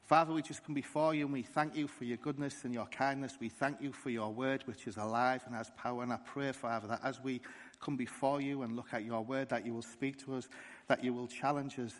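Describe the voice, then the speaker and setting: A man softly leads a reverent spoken prayer before a sermon. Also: mid-range voice, steady tone, a little fast, in a large hall. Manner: soft, reverent praying.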